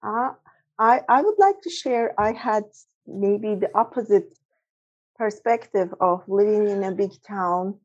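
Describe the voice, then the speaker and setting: A woman's voice: mid-range voice, a little slow, a little mellow, breathy edge. A woman from Turkey, talking reflectively in a casual group discussion over a video call. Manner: reflective, searching for words. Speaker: discussion participant. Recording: dull lo-fi video call audio.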